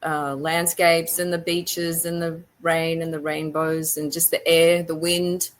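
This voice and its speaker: A woman with an Australian accent speaking as a podcast guest, chatting casually in halting, reflective phrases. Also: low voice, level tone. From rural Australia. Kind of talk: casual interview chat.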